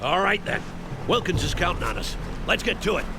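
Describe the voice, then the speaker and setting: A man playing a gruff, hot-tempered army officer with a gravelly voice in a game cutscene. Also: high voice, sing-song, a little fast, background noise, heavily acted. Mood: brusque, commanding. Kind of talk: video game cutscene acting.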